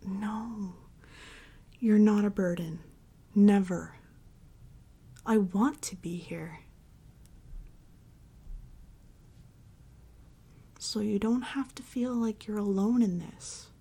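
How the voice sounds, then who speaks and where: Casting: actress as devoted, comforting girlfriend for ASMR roleplay; soft mellow breathy voice with fry, often whispered, unhurried and loving. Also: medium pitch. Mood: tender reassurance. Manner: soft, soothing, slow and affectionate. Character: tender, devoted girlfriend and mommy dom comforting her partner.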